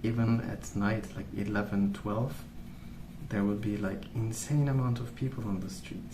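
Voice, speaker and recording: A soft-spoken man rambling in an ASMR style, with a hesitant, meandering and unhurried way of recollecting. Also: lowish voice, fairly even intonation. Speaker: ASMR video host. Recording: roomy, distant mic, noisy background. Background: pencil colouring sounds, background noise.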